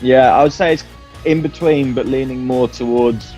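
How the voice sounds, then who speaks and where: A man's voice: medium pitch, steady tone, normal speed, dark tone. Casual man, music underneath. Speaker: band musician being interviewed. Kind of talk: casual interview chat.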